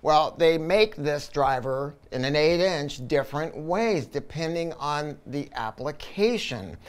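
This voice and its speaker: A man's voice: on the high side, animated, a little slow, clean tone. A man presenting a product review, explaining technical details in a casual, emphatic, conversational way.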